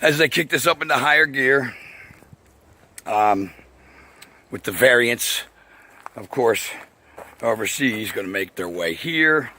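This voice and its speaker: Raspy-voiced man rambling outdoors. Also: medium pitch, animated, slow, long pauses, sharp tone, slight background noise. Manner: rambling, laughing often, swearing. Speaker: vlogger. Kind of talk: casual outdoor video monologue. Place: outdoors by a path.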